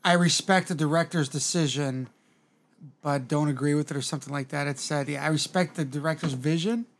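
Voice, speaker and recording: Male co-host, slightly high voice, fast casual banter, joking and sweary. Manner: profane, joking. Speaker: podcast co-host reviewing a film.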